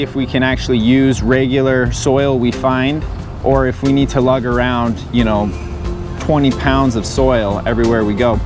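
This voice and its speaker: A young man gives casual step-by-step instructions in a mid-range voice. He is outdoors, speaking to a camera a few metres off. Music is clearly audible behind him. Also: medium pitch. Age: young adult. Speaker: vlog co-host. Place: outdoors in a park.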